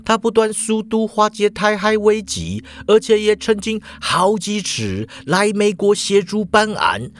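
A man's voice: sits high, measured pace, few pauses, voice pushed up. A man voicing a blunt male secret agent who scolds and lectures sternly. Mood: explaining.

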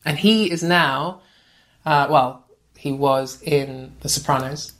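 A woman's voice: low-pitched, takes it slowly. Chatty woman from Australia telling stories. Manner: chatty, animated storyteller. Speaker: podcast guest, writer. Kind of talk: casual anecdotal storytelling.

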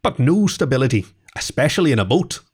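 A man's voice: mid-range voice, big pitch swings, fast, darkish tone, breathy edge. A man voicing a sociable, blunt male otter from a storybook, jovial and gossipy. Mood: gossipy, amused. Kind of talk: storybook character voice.

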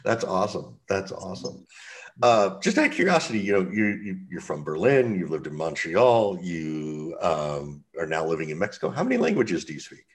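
A podcast host with a very low-pitched male voice asks his guest questions. He sounds curious and relaxed, and he is quick with follow-ups. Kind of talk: casual podcast interview.

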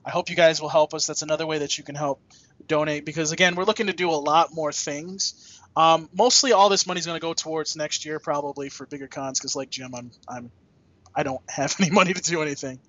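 A man with a fairly high voice hosts a podcast in a loose, joking style with some salty language. The sound is dry and a bit dull, like a lo-fi voice track. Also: steady tone, fast, a bit bright, no echo. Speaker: podcast host. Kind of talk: casual podcast chat.

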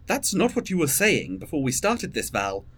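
A stressed, pragmatic leader played by an actor who insists on control in an audio drama. The voice is husky and the intonation swings very widely. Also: medium pitch, huge pitch swings, normal speed, a bit bright, exaggerated voice. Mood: angry, insistent.

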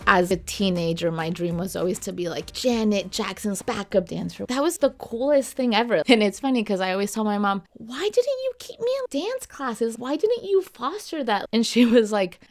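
A woman raised in Venezuela and Miami, a podcast guest telling stories in a lively, animated way. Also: medium pitch, normal speed, few pauses, faint music behind. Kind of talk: interview.

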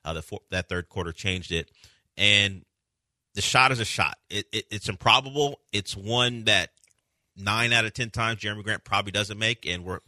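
Man, sports radio co-host, very bright edge, lowish voice, measured, dry close mic. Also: no echo. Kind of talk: casual sports analysis.

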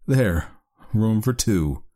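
A man voicing a weary, miserable expectant father in a story reading, with bitter, quiet sarcasm. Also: lowish voice, expressive, slow pace, voice pushed up. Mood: grim. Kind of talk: dramatised short story reading.

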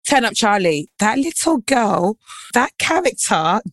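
A British woman chatting casually as a co-host, telling stories in an animated, informal way. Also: on the high side, unhurried, dry recording. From England, early childhood in Nigeria. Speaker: podcast co-host. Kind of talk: casual chat.

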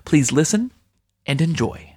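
A man's voice: fairly high pitch, lively intonation, a little slow. A man hosting an interview podcast, speaking in an earnest, enthusiastic way. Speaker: podcast host. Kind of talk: podcast introduction and interview talk.

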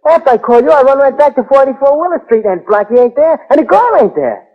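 Jittery dim sidekick, very high husky voice, slangy fretting, tinny old radio recording. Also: male voice, very animated, quick pace. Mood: pleading, worried. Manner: slangy, ungrammatical, fretful. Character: anxious, dim, loyal male sidekick of a detective. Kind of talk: 1940s radio drama acting. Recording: tinny, band-limited 1940s radio recording.

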